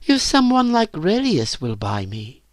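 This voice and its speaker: A man's voice: sits high, wildly swooping pitch, unhurried, no room sound, studio quality, voice pushed up, exaggerated voice. A man voicing a timid, wistful young slave woman in an audiobook, earnest and full of self-doubt.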